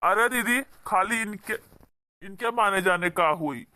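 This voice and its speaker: A man's voice: sits high, measured pace, sharp tone, heavily acted. A man with an Awadhi accent voicing an indignant, protective brother-in-law who scolds and argues in a comedy cartoon. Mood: angry, distrustful. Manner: scolding, argumentative. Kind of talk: amateur comedy cartoon dialogue.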